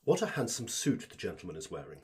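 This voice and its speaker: A man's voice: low voice, huge pitch swings, fast talker, darkish tone, a little hiss or hum. A man playing an obsequious fungus creature who keeps shop through a host body, speaking in formal, over-polite patter in an audio drama. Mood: oily, smooth.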